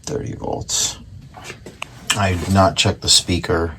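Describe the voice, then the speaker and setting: A man casually narrating hands-on repair work in a low-key way, thinking aloud as he goes at a workbench. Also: steady tone, slow pace, sharp tone, slight background noise. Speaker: host, antique radio repairer. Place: indoor workshop at a workbench.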